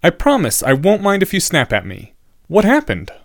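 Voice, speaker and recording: A man's voice: high voice, wildly swooping pitch, normal speed, dry recording, studio quality, pitched above the performer's own voice, heavily acted. A man voicing an earnest, precocious schoolboy in an audiobook story, articulate and questioning. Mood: cautious, concerned.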